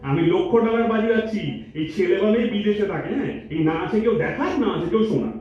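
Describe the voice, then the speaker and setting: A man's voice: high voice, quick pace, darkish tone, close to an everyday voice. Far-off, echoey, eager young man acted out. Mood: eager, persuasive. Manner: eager, insistent, repeats himself, mixes in English. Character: impulsive, chatty young boyfriend, eager and persuasive. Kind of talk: amateur staged audio drama acting. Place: multipurpose hall stage. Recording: distant, echoey hall recording, muffled, faint noise.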